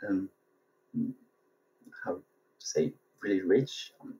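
A man's voice: low voice, expressive, takes it slowly, warm tone, a little hiss or hum. A man from France and Tunisia with a French accent, answering interview questions hesitantly as he searches for words. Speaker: interview guest, sake brewery worker. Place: remote call from Japan. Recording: dull, muffled remote connection.

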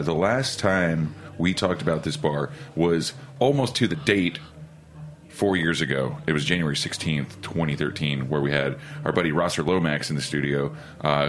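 A man co-hosting a podcast in a laid-back casual way, often saying "man" and hesitating with uhs. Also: very deep voice. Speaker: podcast co-host. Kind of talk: casual interview chat.

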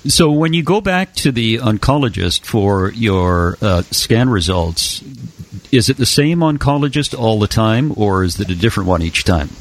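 A man hosts a casual interview, encouraging his guest and asking follow-up questions. His voice is husky. His pitch rises and falls a lot as he talks. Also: animated, moderate pace. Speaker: host.

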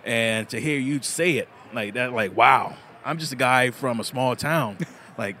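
A man's voice: medium pitch, measured pace, bright, forceful tone, a little hiss or hum. A man, a bladesmith chatting casually in an interview at an outdoor festival, laughing often and repeating words as he starts sentences. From South Carolina. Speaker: bladesmith guest.

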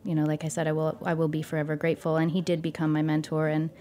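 A woman's voice: low voice, completely flat delivery, fast, warm tone. A woman in her thirties, a guest on an interview podcast, telling her story casually with many fillers. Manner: storytelling, thoughtful, with frequent fillers.

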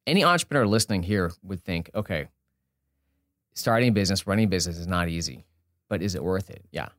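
A man with a fairly low voice speaks casually as an interview guest. He thinks out loud, often breaking off a sentence to rephrase it. The sound is dry and close, with very little room. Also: animated, fast talker, dry recording. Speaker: podcast guest, entrepreneur and author.

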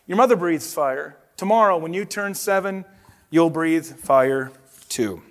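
Storybook dragon dad, played by a man reader: proud, stern, insistent, pitched high. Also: unhurried. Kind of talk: children's storybook read-aloud.

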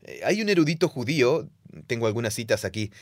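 Male podcast co-host, teacherly explainer, average pitch, dry close-miked studio. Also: sing-song, quick pace, no room sound. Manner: explains at length, reads quotes aloud, laughs easily.

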